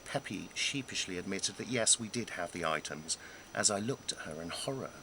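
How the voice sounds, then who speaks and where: A man reading his own humorous memoir aloud in a wry, self-deprecating way, with dramatic pauses and emphasis. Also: deep voice, expressive, sharp tone, a little hiss or hum, low-quality recording. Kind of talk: scripted narration of a humorous memoir.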